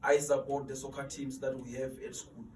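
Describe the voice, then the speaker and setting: A man's voice: mid-range voice, level tone, normal speed, darkish tone. A man in his twenties, an interview guest, talking earnestly about mentoring students and often repeating words while he searches for them. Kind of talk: motivational interview talk. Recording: echoey room, distant mic, faint noise.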